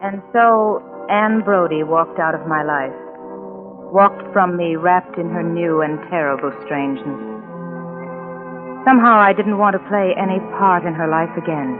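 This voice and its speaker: A woman's voice: on the low side, measured pace, lightly acted. An actress playing an anxious, horrified woman friend, earnest and haunted, in 1940s radio drama style. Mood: haunted, grave. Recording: narrow old radio recording with music.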